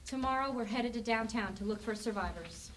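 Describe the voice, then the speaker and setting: A woman's voice: fairly high pitch, a little fast, fairly bright tone, slight background noise, lightly acted. A young woman acting as an earnest, anxious younger sister in an amateur zombie apocalypse radio drama. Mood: earnest report. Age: teenager or young adult. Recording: distant microphone, faint music.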